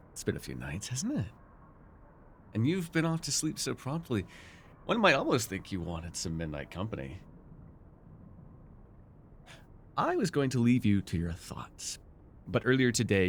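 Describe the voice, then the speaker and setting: A man acting a smug, seductive and menacing sorcerer ruler in a fantasy ASMR roleplay, with a theatrical, teasing, deliberate delivery. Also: on the low side, vocal fry, slight background noise, heavily acted. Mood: soft, teasing. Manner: theatrical, teasing, deliberate, with murmured asides and sudden force.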